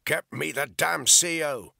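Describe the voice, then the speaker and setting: Guy reading a tough soldier, raised firm voice, husky and bright. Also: a little high, measured pace, no room sound, clean studio sound, voice pushed up, heavily acted. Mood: clipped urgent. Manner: shouting firm and controlled. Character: calm tough male soldier negotiating.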